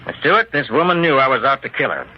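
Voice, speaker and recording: An actor playing a bitter widower out for revenge in a 1940s radio drama, theatrical and menacing. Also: male voice, medium pitch, sing-song, fast, lightly acted. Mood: accusing. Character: bitter vengeful widower hunting his wife's killers. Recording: dull, noisy 1940s radio recording.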